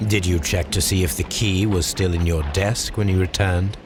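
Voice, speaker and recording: A man playing a shrewd, commanding English gentleman detective in a Victorian video game, speaking with precise, formal phrasing. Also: deep voice, fairly even intonation, moderate pace, noisy background, natural voice. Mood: stern, probing. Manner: precise, formal, clipped questioning. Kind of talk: video game cutscene acting.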